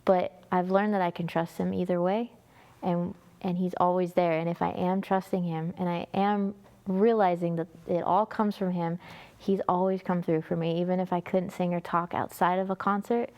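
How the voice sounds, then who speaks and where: Lower-pitched young North American woman. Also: level tone, normal speed, a little mellow. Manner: earnest, conversational storytelling. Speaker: guest, music ministry singer. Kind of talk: personal testimony in an interview.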